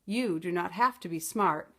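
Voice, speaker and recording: A woman voicing a poor, worried mother in a storybook, gently cautioning and resigned. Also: lowish voice, normal speed, voice pushed down.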